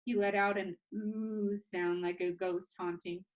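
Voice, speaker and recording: A woman reading a storybook aloud as a teacher, with expressive narration and an informal manner. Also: mid-range voice, measured pace. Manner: informal, chatty asides.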